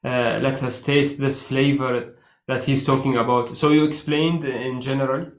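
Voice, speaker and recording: A male interviewer speaks at a mid pitch in a measured, halting way, with plenty of "uh" and "um" as he frames his questions. His voice carries a roomy echo. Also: steady tone. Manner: hesitant, measured, many filler sounds. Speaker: interview host.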